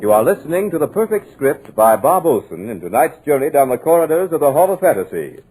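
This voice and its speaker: A man's voice: a little high, slightly rough, poor audio. A man announcing in 1940s radio style, formal and dramatic.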